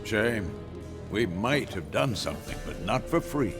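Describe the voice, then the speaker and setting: An actor playing an older monster hunter and mentor in a video game, wry and unhurried. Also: male voice, fairly low pitch, very animated. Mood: wry, calm. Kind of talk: video game cinematic acting. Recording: clear music behind the voice.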